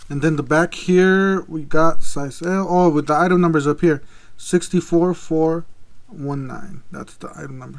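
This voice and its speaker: A man's voice: on the high side, vocal fry. Rambling man reviewing tools at his desk. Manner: rambling, lots of ums and restarts. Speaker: tool reviewer. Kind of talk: casual product review vlog. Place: office desk indoors. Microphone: clip-on camera mic.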